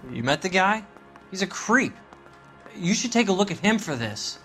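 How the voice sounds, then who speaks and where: A man's voice: sits high, sing-song, moderate pace, a bit bright, soft background music. A man playing a blunt, resentful young American Navy sailor in a video game drama. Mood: angry, defiant. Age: young adult. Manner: blunt, defensive, slangy.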